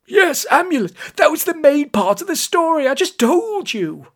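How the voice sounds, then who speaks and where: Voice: expressive, takes it slowly. A man voicing a gloating, irritable storybook villain in a theatrical, scornful manner. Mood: gloating, exasperated. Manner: theatrical, scornful, shouting in high-pitched bursts. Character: gloating, irritable male villain commanding magical underpants.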